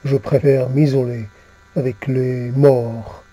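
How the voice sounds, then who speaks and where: A man reciting poetry in a solemn, halting manner, repeating phrases as he goes. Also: medium pitch, flat delivery, mellow. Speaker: poet reciting own poem.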